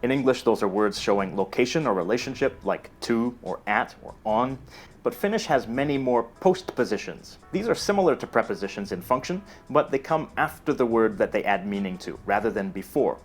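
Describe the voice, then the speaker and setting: A man giving scripted educational narration in a steady, explanatory delivery. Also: mid-range voice, fairly quick. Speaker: narrator of a language explainer video. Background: faint music.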